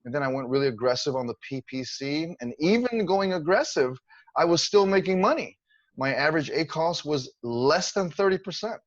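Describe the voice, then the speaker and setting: A man's voice: fairly high pitch, normal speed, no room sound, dull audio. A man in his fifties, a guest on a casual video-call interview, telling his story in a chatty, grateful way. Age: 50s. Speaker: interview guest, online seller.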